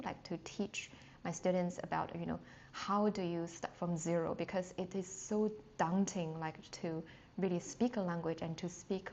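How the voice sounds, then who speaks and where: A woman's voice: on the low side, darkish tone. A woman whose first language is Chinese, teaching a beginner language lesson live and chatting with her viewers, full of fillers and restarts. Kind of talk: live-streamed casual lesson with chat questions. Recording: slightly dull sound with faint noise.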